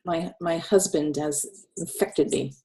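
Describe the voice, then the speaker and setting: A woman in her forties with a cold, hosting a casual video call chat, chatty and quick to laugh. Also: low voice, fairly even intonation, dark tone. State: snuffly from a cold. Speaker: host.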